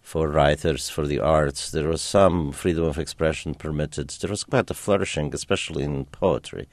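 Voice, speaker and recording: A man from Romania whose first language was German, a radio guest talking in a reflective, halting way with many fillers. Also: a bass voice, no room sound. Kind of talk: call-in radio interview.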